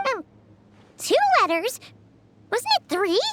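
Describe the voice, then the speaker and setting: A woman voicing a tiny, excitable fairy girl companion in a video game, squeaky, childlike and chirpy. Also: slow, very noisy, exaggerated voice. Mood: indignant, surprised. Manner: chirpy, exclamatory, refers to herself by name. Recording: loud music behind the voice.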